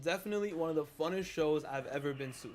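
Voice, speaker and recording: A man's voice: on the high side, fast, background noise. A man in his twenties from Canada, narrating a wrestling countdown video in an upbeat storytelling way with casual slang. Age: 20s. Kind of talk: scripted list narration.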